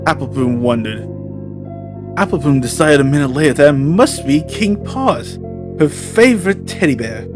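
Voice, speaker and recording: A man's voice: on the high side, expressive, normal speed, music in the background. Man solemnly narrating a horror story.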